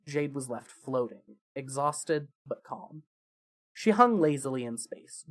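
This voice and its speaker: A narrator reading fan fiction as dramatic scripted storytelling, with emphatic phrases that trail off softly. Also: medium pitch.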